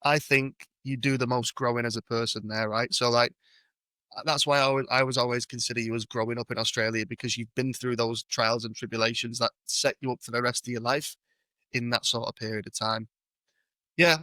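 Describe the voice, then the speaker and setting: A man's voice: mid-range voice, fairly even intonation, a little fast, sharp tone, no echo. A man with a British accent hosting a podcast in a casual, matey interview style. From United Kingdom. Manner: matey, informal banter. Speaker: podcast host.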